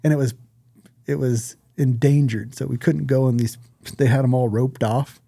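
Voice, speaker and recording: A man's voice: medium pitch, steady tone, moderate pace, gravelly, dark tone, dry recording, clean studio sound. A man hosting a podcast in a casual, conversational style, speaking bluntly and swearing freely. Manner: blunt, profane. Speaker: podcast host. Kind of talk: casual chat.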